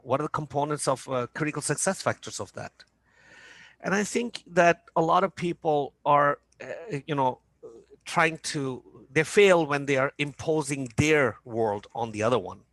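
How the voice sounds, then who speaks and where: A man's voice: mid-range voice, a bit bright, dry recording. A man from Norway speaking in a business panel talk as a company founder, hesitant with many fillers and restarts.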